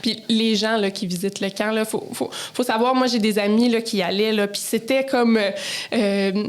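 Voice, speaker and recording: A woman's voice: a little high, steady tone, normal speed. A woman with a Quebec French accent, hosting a podcast in a casual, conversational interview style.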